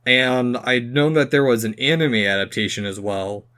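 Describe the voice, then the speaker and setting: A man co-hosting a podcast in casual conversation, hesitant as he thinks his points through out loud. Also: medium pitch, moderate pace, smooth. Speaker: podcast host. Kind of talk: casual pop-culture chat.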